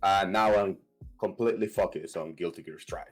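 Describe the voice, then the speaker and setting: A young man from Venezuela speaks English with a Venezuelan Spanish accent in a lowish voice. He answers interview questions haltingly, pausing on fillers and starting over. Soft music plays faintly underneath. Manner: halting, hesitant, many fillers and restarts. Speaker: competitive fighting game player.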